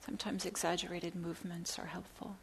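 A woman teaching guided meditation in a soft, breathy near-whisper, gently and with long pauses between phrases. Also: lowish voice, little pitch movement. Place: meditation center. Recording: clear background hiss.

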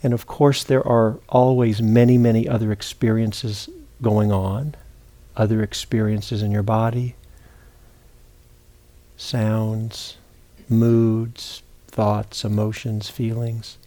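A man with a low, very mellow and relaxed voice leads a guided meditation. He speaks as a teacher, gently and without hurry, leaving long pauses between short phrases.

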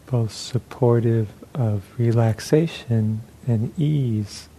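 A man leading a guided meditation as a teacher, speaking calmly and gently in measured phrases with pauses. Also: medium pitch, a little hiss or hum.

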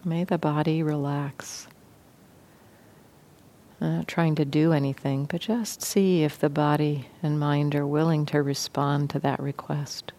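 A woman leads a guided meditation in a low-pitched voice with some fry in it. She speaks very slowly and gently, leaving long pauses between short instructions. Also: level tone. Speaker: meditation teacher.

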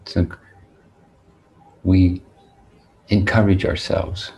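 A man teaching meditation, speaking in a deliberate, measured way with long pauses as he guides listeners. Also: low-pitched, flat delivery, dark tone, muffled recording.

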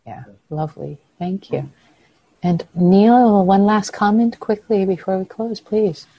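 A woman whose first language is Persian, leading a meditation group discussion over a video call in a soft, nurturing way with frequent affirmations. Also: medium pitch, measured pace, no room sound, highs cut off. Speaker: meditation teacher.

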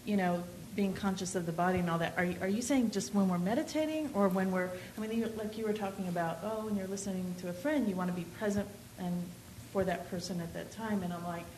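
A woman's voice: mid-range voice, fast talker, hardly any pauses, a little mellow, roomy sound, a little hiss or hum, poor audio. A woman student in a meditation class asking a long, rambling question, searching for her words and restarting often. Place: meditation center hall.

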